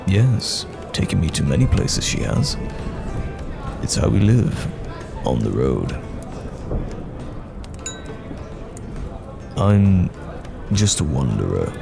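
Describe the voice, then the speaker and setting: Very deep, gravelly wandering knight played by a man. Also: big pitch swings, full character voice. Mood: relaxed, appreciative. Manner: measured, courteous, old-fashioned phrasing. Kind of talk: fantasy audio roleplay acting. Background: music.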